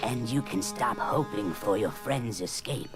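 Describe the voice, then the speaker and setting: An actress playing a cold, gloating villain in a space opera audio drama, with a menacing, sneering delivery. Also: female voice, a bass voice, measured pace, loud music behind the voice. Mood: smug, menacing. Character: cold gloating villain Cipher, outlaw boss with a blaster.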